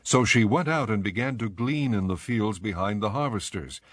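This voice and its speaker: Casting: male narrator for dramatized scripture, lowish pitch, measured and solemn, dry studio sound. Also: a bit bright, no room sound.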